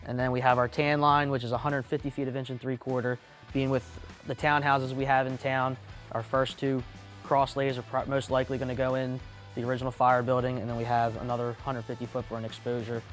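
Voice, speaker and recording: Fast-talking, matter-of-fact male fire chief. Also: mid-range voice, flat delivery, soft background music. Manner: matter-of-fact, practical, plain-spoken. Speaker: volunteer fire battalion chief. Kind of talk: explanatory interview answers.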